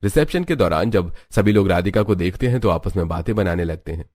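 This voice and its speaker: A man's voice: sits low, expressive, fairly quick, a little mellow. A man narrating a scripted moral story as a storyteller.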